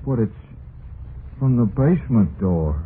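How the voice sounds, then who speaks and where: Simple, slow-talking son, swooping pitch. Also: male voice, expressive. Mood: puzzled. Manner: slow-witted, plaintive, folksy. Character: simple, trusting son longing for his missing mama. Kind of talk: old-time radio drama acting. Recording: tinny, noisy vintage radio recording.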